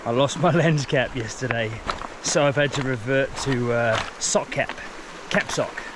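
A man in his forties with a New Zealand accent, talking excitedly to an action camera while fly fishing outdoors by a forest river. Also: mid-range voice, measured pace. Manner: enthusiastic, exclaims often. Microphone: action camera, chest or handheld. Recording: outdoor river recording with clear background noise. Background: flowing river.

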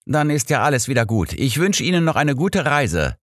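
A man's voice: medium pitch, sing-song, normal speed, no room sound, clean studio sound, voice pushed up. A man voicing a polite, officious male train conductor in an audiobook. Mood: friendly. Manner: formal, courteous.

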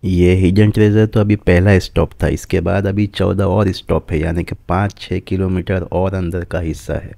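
A man's voice: deep voice, little pitch movement, moderate pace, creaky, few pauses, dark tone. A man vlogging as he walks, explaining the sights outdoors to his viewers. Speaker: travel vlogger. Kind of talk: casual travel narration. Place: outdoors at a desert rock site.

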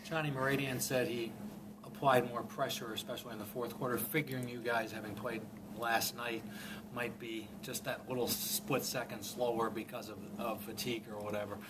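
A man's voice: mid-range voice, flat delivery, normal speed. A man reporter asking a long, rambling question after a game. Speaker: sports reporter. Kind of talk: interview question. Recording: distant mic in a noisy room.

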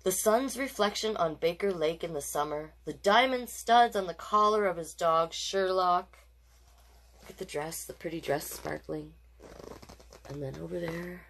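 A woman's voice: fairly low pitch, measured pace, fairly bright tone, rough recording. A woman hosting a children's storytime, reading picture books aloud with playful, encouraging asides to young listeners. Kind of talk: picture-book read-aloud with chatty asides.

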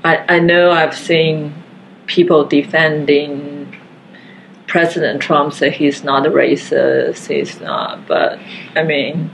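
A woman from Taiwan, speaking haltingly and earnestly as a guest in a casual living-room discussion. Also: low-pitched, gravelly, slight background noise, poor audio. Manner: slow, halting, earnest, frequent fillers. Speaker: podcast guest.

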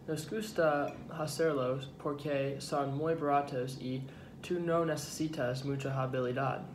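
A young man with an American accent and English as his first language, hosting a home cooking vlog in halting learner's Spanish. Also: mid-range voice, fairly even intonation. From United States. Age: young adult. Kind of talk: rehearsed recipe narration. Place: indoors, home dining room and kitchen. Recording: echoey home room, clear background noise.

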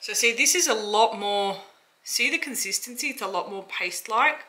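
A woman's voice: on the high side, expressive, moderate pace. An Australian woman, around her thirties, talking informally and thinking aloud as she demonstrates a craft in a casual how-to vlog. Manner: informal, chatty, thinking aloud while working. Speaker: vlogger and small soap-business owner. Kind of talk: casual how-to vlog, explaining while working. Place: small indoor home workshop. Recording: clear background noise, dish and pot clatter.

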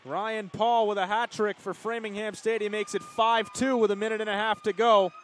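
Guy calling a hockey game, higher voice, quick calls, gets loud on goals. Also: level tone, some chatter behind, slight background noise. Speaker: play-by-play commentator. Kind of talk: hockey play-by-play commentary.

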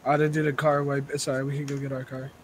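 A man's voice: medium pitch, completely flat delivery, fast talker. A man bantering casually while playing a video game, using slangy, profane talk. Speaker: gamer streamer playing a roleplay server. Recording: game audio with vehicle noise. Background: video game ambience, cars.

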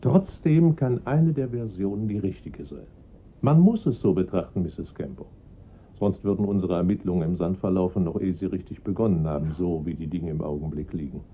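Husky, formal police inspector, tinny narrow sound, radio drama. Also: male voice, low voice. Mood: measured. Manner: measured, formal, reassuring. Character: calm, dutiful middle-aged male police inspector.

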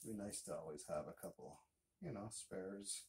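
A man's voice: deep voice, normal speed. A middle-aged man with a Canadian accent chatting casually and thinking aloud in a laid-back, colloquial way while he works in a garage. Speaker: DIY mechanic video host. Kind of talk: casual chat while working on a mini bike. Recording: clearly noisy garage recording with clicks.

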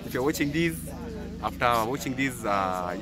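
A man's voice: medium pitch, lively intonation, moderate pace, crowd noise. A young man from western Kenya, chatting earnestly outdoors with many hesitations, recorded on a handheld phone. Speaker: guest YouTuber. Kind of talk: casual chat. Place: outdoors on a grassy compound. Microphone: phone at arm's length.

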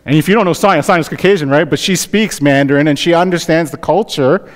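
A man's voice: on the high side, fast talker, long reverb tail. A Canadian man, a pastor, delivering a conversational sermon to his congregation, often asking "right?". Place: church sanctuary.

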